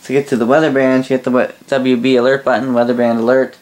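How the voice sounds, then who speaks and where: A man reviews a product off the cuff at a middling pitch that barely moves. His delivery is rambling and halting, with sentences restarted and corrected as he goes. It sounds like a casual home video recorded indoors. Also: flat delivery, darkish tone. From Canada. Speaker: host of a radio gear review channel. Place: indoor room full of radio gear.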